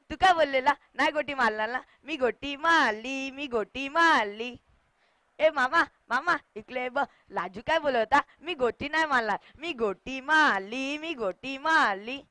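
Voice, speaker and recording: Woman as a high-pitched lisping little boy. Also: no room sound. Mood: playful. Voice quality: childish lisp. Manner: babyish, repetitive, whining. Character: innocent five-year-old boy, playful, bewildered by mother's death. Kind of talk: solo stage monologue.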